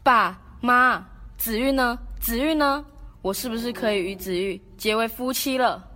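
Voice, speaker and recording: A woman's voice: fairly high pitch, unhurried, pushed and bright, soft background music. A woman with a Taiwanese accent playing a devoted young male scholar in a student radio drama, earnest and pleading. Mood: urgent.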